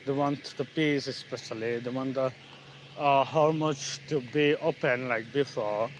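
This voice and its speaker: A man's voice: mid-range voice, fairly even intonation, a little hiss or hum, low-quality recording. Bangladeshi man chatting while out walking. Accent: Bangladeshi English. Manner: halting, many fillers and restarts. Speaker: channel host, English learner. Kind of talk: casual live-stream chat. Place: outdoors on a street in the evening, walking.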